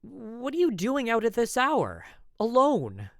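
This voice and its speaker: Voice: mid-range voice, wildly swooping pitch, slow, no echo, studio quality, voice pushed up, exaggerated voice. A performer voicing a nervous, stammering traveling tonic seller in a western audio story. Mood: alarmed, flustered.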